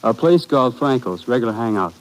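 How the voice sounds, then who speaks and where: A man's voice: medium pitch, animated, fairly quick, lightly acted, rough recording. An actor playing a young American army sergeant in an old radio drama, informal and a little hesitant in his answers. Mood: uneasy, candid. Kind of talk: 1950s radio drama acting.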